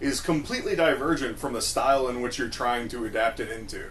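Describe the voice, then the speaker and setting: A man's voice: mid-range voice, a little fast, a bit bright. Man explaining opinions over background noise. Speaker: podcast co-host. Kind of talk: casual opinionated film discussion.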